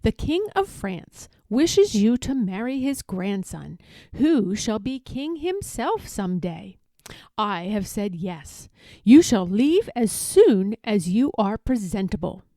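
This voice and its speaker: Storybook Empress acted by a female reader: stern middle-aged mother, regal, measured, mellow yet commanding. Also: mid-range voice, sing-song, no echo. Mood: solemn, decisive.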